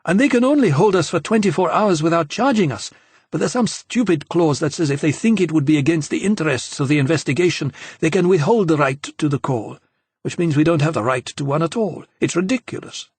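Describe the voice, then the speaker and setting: A man reading an audiobook, voicing a quick, indignant young woman who talks rapidly and emphatically. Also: on the high side, expressive, husky, dry recording, pitched above the performer's own voice.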